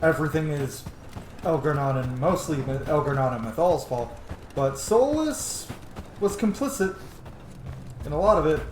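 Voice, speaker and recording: A man's voice: a little high, expressive, moderate pace, pauses often. Roomy-sounding man doing casual game commentary. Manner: muttered short reactions, slangy. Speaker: gamer playing through a story game. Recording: roomy sound, faint noise, game ambience.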